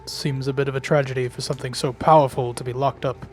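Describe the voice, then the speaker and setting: A man's voice: medium pitch, flat delivery, quick pace, faint music behind. A man with a British accent talking casually as a player in a tabletop roleplaying game.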